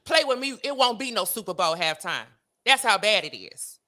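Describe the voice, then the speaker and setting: A woman's voice: mid-range voice, lively intonation, normal speed, sharp tone. A middle-aged woman from Los Angeles telling gossipy stories as an interview guest, brash, sassy and emphatic. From Los Angeles, United States. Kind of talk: gossipy storytelling.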